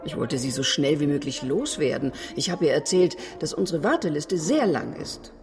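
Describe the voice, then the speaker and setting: Low, creaky-voiced, worldly agency boss. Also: female voice, expressive, normal speed, a little mellow, soft background music. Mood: dismissive, curious. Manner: sardonic, self-assured, chatty. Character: worldly, wry, blunt female head of a modelling agency. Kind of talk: radio drama acting.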